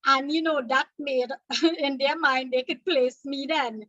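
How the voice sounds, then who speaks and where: A woman's voice: high voice, steady tone, measured pace, pushed and bright, no room sound, muffled recording. A woman from Trinidad and Tobago with a Trinidadian accent, teaching an exam revision lecture, explaining points step by step and checking in with "okay?".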